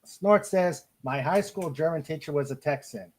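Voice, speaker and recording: A man from Ohio with an American accent, hosting a casual live chat and often halting as he searches for words. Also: a little high, slight background noise. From Cleveland, Ohio, United States. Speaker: podcast host. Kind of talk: casual live chat, reading out listener comments.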